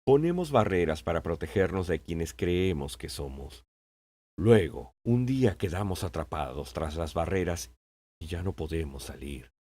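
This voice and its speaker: Guy doing a wise old king for an audiobook, deep voice, patient, talks in measured little lessons. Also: lively intonation, mellow, dry recording. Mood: calm, teaching. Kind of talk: audiobook character acting.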